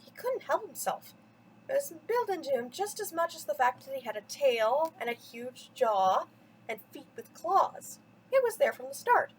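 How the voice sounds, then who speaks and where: A woman's voice: high-pitched, very animated, normal speed, a little hiss or hum, poor audio. A woman reading a mythology story aloud for children as a storyteller, with dramatic, theatrical emphasis. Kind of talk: expressive bedtime story read-aloud.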